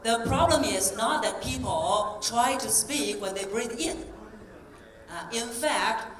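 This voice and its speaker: A woman voice coach giving an energetic keynote talk, coaxing the audience through exercises on stage. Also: a little high, slow. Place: large conference hall on stage. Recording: roomy hall, distant mic, faint ambience.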